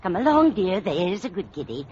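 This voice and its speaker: Fluttery, doting landlady, sweet and animated, 1940s radio sitcom, dull hissy recording. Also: on the low side, moderate pace. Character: fluttery, kindly landlady doting on her cat.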